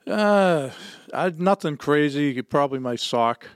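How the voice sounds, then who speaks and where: A man's voice: a little high, lively intonation, unhurried, clean tone, no room sound, clean studio sound. A man co-hosting a podcast, chatting casually and bluntly with heavy swearing. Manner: blunt, heavily profane. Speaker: podcast co-host. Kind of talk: casual chat.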